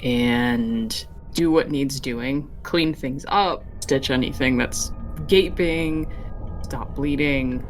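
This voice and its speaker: A woman's voice: a bass voice, slow. Wry woman player over background noise. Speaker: tabletop game player. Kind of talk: casual in-game chat.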